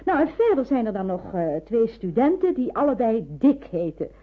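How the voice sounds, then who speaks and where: An actress in a Dutch radio drama playing a watchful, practical nurse in hiding, explaining and cautioning. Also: female voice, a little high, very animated, moderate pace. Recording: narrow phone-like old radio recording.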